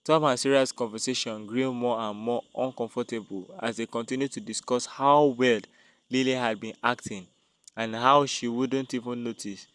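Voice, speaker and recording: A man's voice: medium pitch, steady tone, moderate pace, fairly bright tone, clear, resonant tone, dry recording. A man narrating a dramatic scripted tale as a storyteller.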